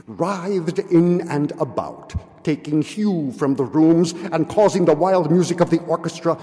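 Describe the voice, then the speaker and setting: Slow, theatrical older man in echoing hall. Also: fairly high pitch, animated. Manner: theatrical, deliberate, with hushed whispery drops and sudden swells. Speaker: reader at a public recitation. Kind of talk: dramatic literary reading of a gothic tale. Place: large indoor meeting hall, at a lectern. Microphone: gooseneck lectern microphone. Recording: echoey hall with long reverb.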